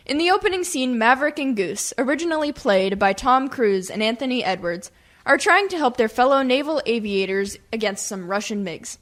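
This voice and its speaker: A woman with a fairly high voice presents a radio comedy show. She reads scripted introductions as the announcer, with a theatrical, presenterly delivery. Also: a bit bright.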